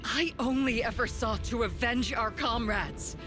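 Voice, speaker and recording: A woman's voice: on the high side, music in the background, close to an everyday voice. A woman voicing a scheming villain colonel. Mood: accusing. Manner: measured, accusing. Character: cold scheming female colonel, commanding villain. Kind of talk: video game cutscene dialogue.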